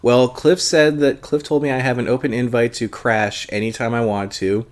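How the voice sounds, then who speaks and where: A man hosting a casual live book club stream, a little congested, talking in a relaxed, hesitant way full of fillers as he reads viewer comments aloud. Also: medium pitch, moderate pace. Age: adult, long-time convention goer.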